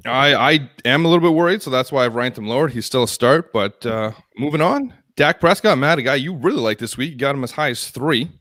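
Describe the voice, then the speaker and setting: A young man co-hosts a casual live sports stream, moving the talk along briskly and ribbing his partner. His voice sits at an everyday middle pitch and sounds close and dry, with no room around it. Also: expressive, fairly bright tone, dry recording. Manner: upbeat, brisk show-running, teasing. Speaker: co-host. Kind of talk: casual fantasy football chat.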